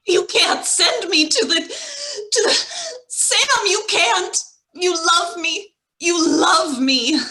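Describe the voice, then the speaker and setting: A woman's voice: extremely high pitch, lively intonation, takes it slowly, sharp tone, exaggerated voice. A woman playing a manipulative, lovestruck femme fatale in a 1940s-style radio melodrama, imploring and dramatic. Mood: desperate. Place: online video-call read-through.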